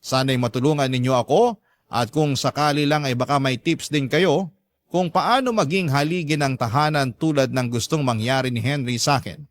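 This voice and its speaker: A man's voice: medium pitch, dry recording. A man narrating a scripted first-person story as the host of a radio drama.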